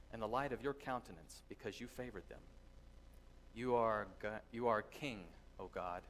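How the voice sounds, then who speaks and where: A middle-aged man giving a sermon from a church pulpit, teaching earnestly with thoughtful pauses and reading scripture aloud. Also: on the low side, fairly bright tone, slight background noise. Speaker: pastor. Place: church sanctuary with congregation. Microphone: distant camera, lectern mic.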